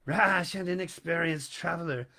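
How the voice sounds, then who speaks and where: A man voicing a pompous, wordy python snake who speaks in a deliberate, ornate way. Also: fairly high pitch, gravelly, bright, forceful tone.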